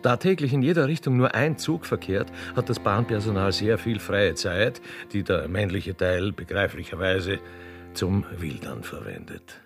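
A man's voice: on the low side, animated, normal speed, loud music behind the voice. A man narrating a radio play in a theatrical, mock-solemn and ceremonious way.

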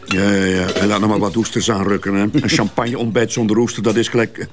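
An actor playing a brisk, insinuating male advertising executive in a Flemish radio drama, with noise behind the voice. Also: fairly low pitch, little pitch movement, close to an everyday voice. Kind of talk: naturalistic radio drama dialogue.

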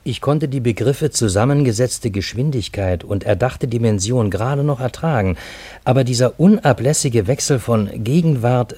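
A genial Parisian storyteller in a radio drama. His voice is mellow and slightly husky, recorded very dry. He sounds urbane, wry and a touch bewildered by it all. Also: medium pitch, dry recording. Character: genial, bewildered Parisian man narrating a fantastic encounter. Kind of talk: German radio play narration and dialogue.

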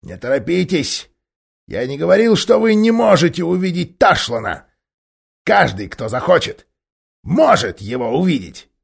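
A man voicing a scheming, bossy talking ape in an audiobook, shrill, mocking and shouting. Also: very animated, normal speed, vocal fry, husky, heavily acted. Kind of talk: audiobook character voice.

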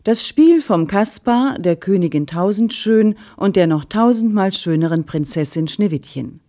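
A woman announcer reading scripted credits in a measured, neutral manner. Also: mid-range voice, lively intonation, mellow, soft background music.

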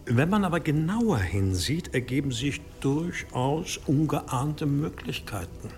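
An actor playing an obsequious, scheming medieval monastery exorcist in an audio drama, deferential, hesitant and persuasive. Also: male voice, fairly high pitch, very animated, slow, darkish tone, soft background music, exaggerated voice. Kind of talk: German audio drama acting.

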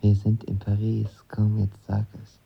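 Low, husky lovestruck young man. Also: monotone, unhurried, exaggerated voice. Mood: eager, playful. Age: young adult. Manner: intimate, reflective. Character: lovestruck impulsive young man in his twenties, narrating his romance. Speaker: lead actor and narrator. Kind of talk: intimate first-person audio drama narration. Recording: dull studio sound with music behind.

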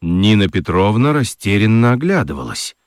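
A man narrating a scripted children's radio story in an expressive, dramatic storytelling manner. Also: on the low side, normal speed, no echo, clean studio sound.

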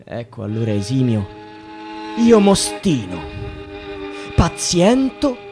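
An actor playing a despairing student who prays in vain, in a declamatory, poetic radio drama monologue that moves between hushed and shouted. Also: male voice, mid-range voice, wildly swooping pitch, slow pace, music in the background, heavily acted. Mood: feverish, defiant. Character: despairing student trapped in a system, praying in vain.

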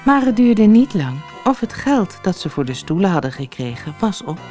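A woman narrating a children's fairy tale as an audiobook reader, in a deliberate storytelling manner. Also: medium pitch, very animated, dark tone, faint music behind.

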